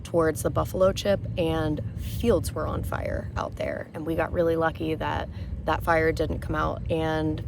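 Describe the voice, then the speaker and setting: A woman with a hoarse voice, speaking as a rally vendor in a chatty, matter-of-fact way. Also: sits low, flat delivery, measured pace, noisy background. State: hoarse, losing her voice. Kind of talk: casual vlog chat to camera. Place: outdoor campground.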